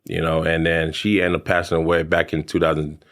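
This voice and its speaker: A man in his thirties from American Samoa, a podcast guest telling his story in a halting, casual way with many fillers and restarts. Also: extremely low pitch, level tone, a bit bright. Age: 30s. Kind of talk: casual interview chat.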